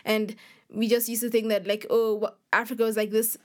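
A woman's voice: fairly high pitch, flat delivery, brisk, darkish tone. A young woman of about twenty answers interview questions casually, often dropping "like" into her sentences. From Nairobi, Kenya. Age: young adult, about 20. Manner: informal, peppers speech with "like". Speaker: guest author.

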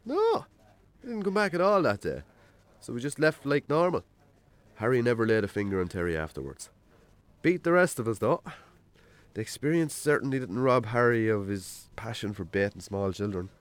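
Male actor as Irish bachelor farmer: mid-pitched, very varied intonation, wry storyteller, naturalistic radio drama. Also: big pitch swings, normal speed, no room sound, lightly acted. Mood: amused, wry. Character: Jamesy, rural bachelor farmer, self-deprecating storyteller on a date.

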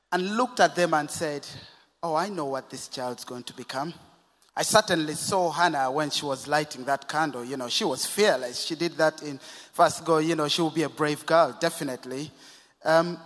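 A man from Uganda gives a reflective personal testimony to a church congregation, his voice a touch higher than most men's. A long church-hall reverb trails after each phrase. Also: a bit bright. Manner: reflective, speaks to the audience directly. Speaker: guest telling his life story. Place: church service.

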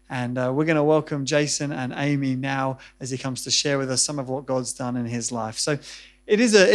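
A man preaching a sermon to his church, earnest and explanatory, then introducing guests for an interview. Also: a little high.

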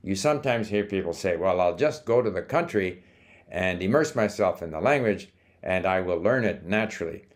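Older guy, kinda low voice, chatting to camera about learning stuff, calm, trips over words now and then. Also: moderate pace. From Sweden, raised in Canada. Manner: relaxed and explanatory, with small hesitations and restarts. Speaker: language-learning video host. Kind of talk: casual talking-to-camera monologue.

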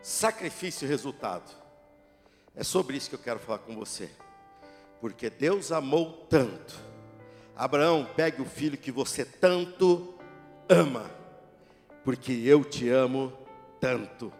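A middle-aged man preaching a sermon to his church, dramatic, rising to shouts at the peaks and joking with the congregation. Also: medium pitch, lively intonation, a little slow, long pauses. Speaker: pastor. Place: church sanctuary. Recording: echoey church hall with music.

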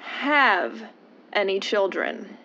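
A woman's voice: medium pitch, sing-song, extremely slow, sharp tone, slight background noise, heavily acted. An actress playing a woman in a melodramatic old-time radio comedy, with a theatrical delivery. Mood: indignant. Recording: dull lo-fi old radio sound.

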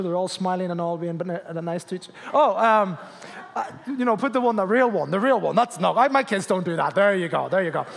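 A man, a guest pastor preaching a jokey, animated sermon to a church crowd. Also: very high-pitched. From Spain, Canary Islands. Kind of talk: sermon with humor. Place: church hall with audience. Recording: long reverb of a large hall.

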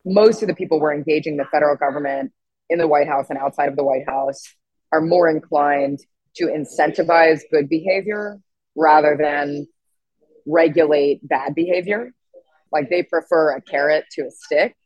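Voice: mid-range voice, normal speed, darkish tone, no room sound, rough recording. A tenant organizer with an androgynous-sounding voice giving interview remarks.

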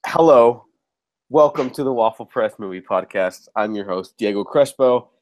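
Man, podcast host, mid pitch, a bit nasal from a cold, casual, chatty. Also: lively intonation, normal speed, a little mellow. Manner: chatty, restarts, casual swearing. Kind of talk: casual movie podcast chat.

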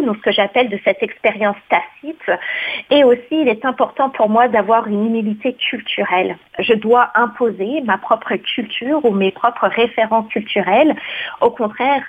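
Husky-voiced woman, earnest and reflective. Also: a little high. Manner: reflective, earnest, repeats words while searching. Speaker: community association president. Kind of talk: interview answer. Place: studio. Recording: phone-like lo-fi sound.

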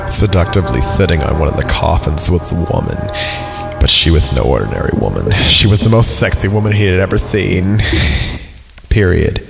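A man's voice: low-pitched, gravelly. A man hosting a podcast, reading a horror story aloud in a sardonic way, with mocking asides. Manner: sardonic, reads punctuation aloud. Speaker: podcast host reading creepypasta. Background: background music.

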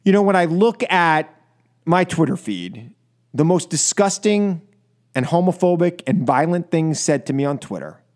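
Male American radio host, voice a bit above average pitch. Fired-up, exasperated political monologues with punchy repeats. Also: animated, moderate pace, darkish tone. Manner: emphatic, exasperated, repeats phrases for stress. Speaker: talk radio show host. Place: radio studio.

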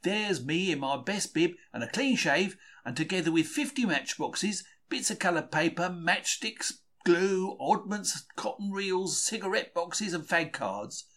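A British man with a fairly high voice reads a diary in the first person. His pitch swings in a very lively way, and his telling is wry and colloquial, full of old-fashioned slang. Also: measured pace, few pauses. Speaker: reader of a diary for a podcast. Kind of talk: scripted first-person narration, dramatised diary reading.

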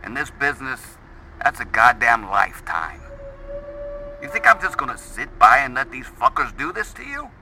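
An actor playing a blustery, loyal older grandfather and fixer, brisk, emphatic and wry, in an audio drama. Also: medium pitch, very animated, background noise. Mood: firm, loyal. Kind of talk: naturalistic audio drama acting.

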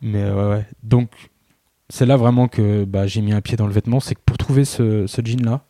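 A man's voice: fairly low pitch, steady tone, normal speed, dark tone, no room sound. Man telling a story in a dry booth. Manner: chatty storyteller, self-mocking. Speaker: guest. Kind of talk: casual podcast conversation.

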